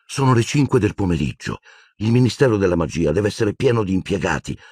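Man voicing an anxious teenage girl, raised pitch. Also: animated, a little fast, a little mellow, breathy edge, no room sound, clean studio sound. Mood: worried reasoning. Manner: hesitant, stammering, pleading. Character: anxious, earnest teenage girl, timid but stubborn.

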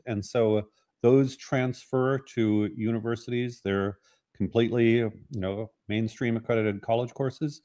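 An older man speaking on a video call, giving measured, informative answers about his school. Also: medium pitch, mellow. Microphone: video call webcam.

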